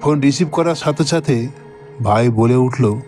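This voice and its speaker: A man narrating a scripted horror story in the first person, in a measured, dramatic manner. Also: mid-range voice, darkish tone, soft background music.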